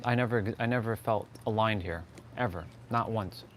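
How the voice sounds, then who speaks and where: A man's voice: on the low side, moderate pace. A man with a Canadian accent giving an impromptu, insistent motivational monologue on a livestream, repeating phrases for stress. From Toronto, Canada. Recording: clearly noisy outdoor ambience.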